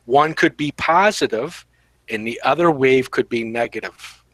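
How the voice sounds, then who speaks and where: A man in a group voice chat who muses in a measured way. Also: mid-range voice, fairly bright tone, dry recording. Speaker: chat participant.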